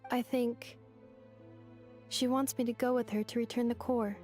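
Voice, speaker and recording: A woman voicing a polite, logical robot girl in a video game, speaking in measured, formal, matter-of-fact statements. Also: fairly high pitch, level tone, a little mellow, soft background music, natural voice. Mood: calm, factual.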